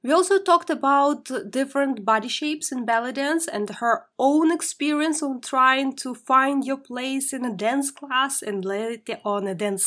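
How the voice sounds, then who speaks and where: An upbeat woman hosting a podcast interview, with a fairly high voice. She speaks with emphasis and energy as she sets up the conversation and guides her guest. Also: a bit bright. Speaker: podcast host.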